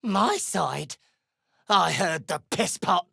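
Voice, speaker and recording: A woman playing a foul-mouthed, defiant gnome in a video game, with a brash, gruff and sardonic delivery. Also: fairly low pitch, animated, slow, bright, forceful tone, dry recording, clean studio sound, full character voice.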